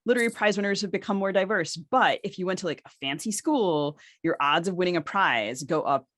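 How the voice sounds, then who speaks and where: A woman's voice: lowish voice, fairly quick, fairly bright tone, no room sound, clean studio sound. A woman co-hosting a conversational literary discussion, thinking aloud as she builds long, discursive questions. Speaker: podcast co-host, interviewer.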